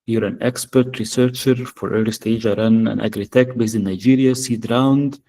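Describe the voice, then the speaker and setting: Arabic-accented male workshop presenter, mellow tone, halting delivery full of "uh"s, on a video call. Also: mid-range voice, fairly even intonation. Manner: hesitant, many fillers. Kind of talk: live software demo.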